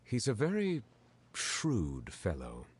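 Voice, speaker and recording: Deep stately judge, formal. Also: male voice, huge pitch swings, breathy edge, no room sound, full character voice. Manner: formal, measured. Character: composed stately male judge, formal and calm.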